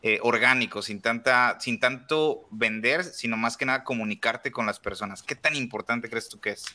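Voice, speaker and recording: Guy podcast host, super bright sharp voice, mid pitch, casual, rewords his questions. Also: moderate pace. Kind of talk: casual conversational interview.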